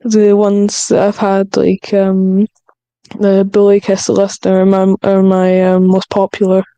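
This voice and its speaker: A woman chats casually and haltingly in an extremely even, monotone voice. It is smooth and mellow, with creak at the edges. She sounds like a writer thinking through her answer. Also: mid-range voice, dry recording. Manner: hesitant, halting. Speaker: script writer on a panel. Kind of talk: casual podcast chat.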